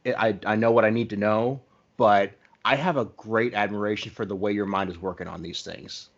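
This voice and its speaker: A man's voice: on the low side, steady tone, a little fast, gravelly. Male podcast interviewer, dull lo-fi sound. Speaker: podcast host interviewing a guest. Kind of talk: casual interview chat. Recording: dull, lo-fi audio.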